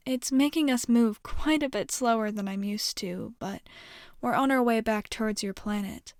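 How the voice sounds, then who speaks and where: A woman playing a gentle, curious, lonely alien space nomad in an ASMR roleplay, soft-spoken and hesitant, with a reassuring manner. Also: on the high side, moderate pace, dry recording, studio quality, close to an everyday voice. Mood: gentle, apologetic.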